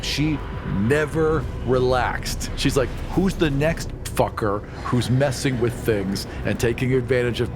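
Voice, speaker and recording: A man chatting casually as a podcast panelist, telling improvised stories with emphatic, repeated phrasing. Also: mid-range voice. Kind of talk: casual improvised chat and storytelling.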